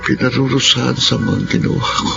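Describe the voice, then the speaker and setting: A Filipino man playing a sick, guilt-ridden father in a radio drama, halting and remorseful. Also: medium pitch, fairly even intonation, vocal fry. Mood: remorseful, sorrowful. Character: sick, guilt-ridden father who abandoned his family. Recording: muffled radio drama, loud music behind.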